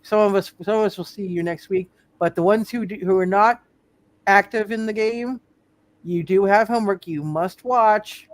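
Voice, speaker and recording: A man hosting as game master in casual talk, halting and often repeating himself as he circles back. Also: high-pitched, no echo. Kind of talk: casual sign-off talk on live roleplay show.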